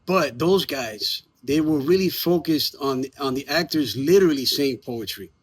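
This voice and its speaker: A man's voice: fairly high pitch, lively intonation, normal speed, breathy edge. A man speaking as a theater maker in a casual promotional chat, informal and emphatic. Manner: informal, emphatic, lightly profane. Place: outdoors in the evening.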